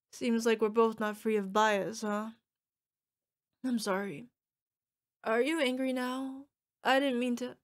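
A woman playing an affectionate young orc girlfriend in an ASMR roleplay, emotional and playful as she talks to her partner. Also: a little high. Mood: apologetic, tender. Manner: gushing, emotional, playful, talking to an unheard partner. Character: young orc girlfriend, fierce yet cutesy, needy, affectionate.

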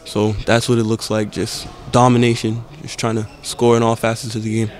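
A teenage basketball prospect from the Bahamas, answering interview questions in a calm, even way into a handheld mic. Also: male voice, lowish voice, moderate pace, slight background noise. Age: teens. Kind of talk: post-game press interview. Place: indoor camp media backdrop. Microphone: handheld reporter mic held close.

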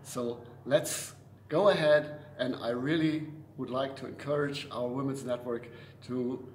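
An older man giving a slow, earnest address on women's leadership. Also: mid-range voice, fairly even intonation, a little mellow. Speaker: organization official giving an address. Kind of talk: prepared speech. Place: indoor room. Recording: echoey room, faint background noise.

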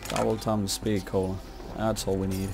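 A man's voice: lowish voice, level tone, normal speed, creaky. A man giving casual, laid-back gaming commentary, muttering to himself as he plays. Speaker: gamer narrating own gameplay. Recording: game music and gunfire behind. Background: zombie shooter game music and gunfire.

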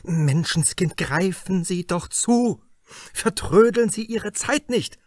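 A man's voice: high voice, expressive, takes it slowly, no room sound, clean studio sound, voice pushed up. A man voicing an agitated, impatient male police superior with an urgent, blustering manner in an audiobook. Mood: agitated, urging. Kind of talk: audiobook character dialogue.

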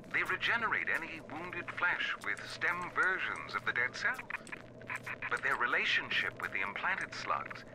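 A cold, sinister man of science played by a male actor dictates a video game audio diary. His mid-pitched voice swings dramatically as he explains with theatrical deliberation. Clear background noise runs beneath it. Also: close to an everyday voice.

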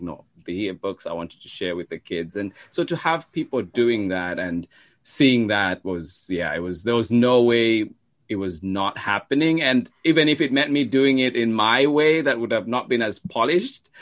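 Male storytime host as interview guest, low voice, informal conversational delivery. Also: fairly bright tone. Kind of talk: casual conversational interview talk.

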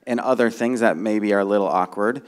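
A man's voice: on the low side, fairly quick. A middle-aged man explaining technical matters informally on a conference panel, often checking in with "right?", speaking into a handheld microphone. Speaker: conference panelist, software developer. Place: conference room stage before a seated audience.

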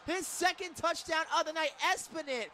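American guy doing radio football play-by-play, really high voice, excitable, kinda sharp and forceful. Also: moderate pace, slight background noise. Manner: excitable, builds to emphatic calls on big plays.

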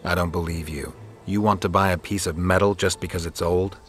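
An actor playing a stoic, blunt monster hunter in a video game, terse and deadpan. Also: male voice, low voice, brisk, lightly acted. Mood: dry, probing. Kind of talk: dramatic video game acting.

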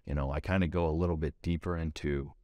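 A man's voice: rumbling low, fairly even intonation, fast talker, no echo, lo-fi sound. A man in his thirties, a plumbing salesperson, explaining his work in a practical, detailed way and often repeating words as he thinks. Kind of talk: casual interview answers. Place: TV studio set.

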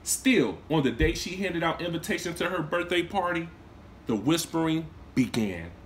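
Animated man reading a children's story. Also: normal speed, a little hiss or hum. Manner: expressive storytelling with lively swings in pitch and volume; warm, encouraging talk to young children. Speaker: kindergarten teacher. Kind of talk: reading a picture book aloud to children. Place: small indoor room, seated on a couch.

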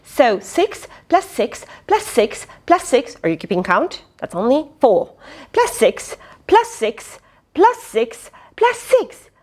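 Brief: woman children's storyteller, high voice, hugely varied intonation, unhurried teacherly delivery. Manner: expressive, questioning, teacherly. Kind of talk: animated picture-book read-aloud with asides to children. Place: small indoor fabric tent with cushions.

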